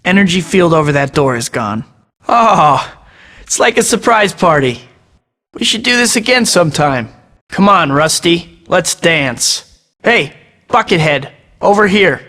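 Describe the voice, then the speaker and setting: An actor voicing a young masked superhero in a video game, talking himself through each task with wry jokes. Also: male voice, on the high side, lively intonation, unhurried, bright, forceful tone, big-room sound, exaggerated voice. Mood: wisecracking. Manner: wry, joking asides to himself. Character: young male masked web-slinging superhero, wisecracking. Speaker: video game hero voice clips. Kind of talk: game voice-over lines.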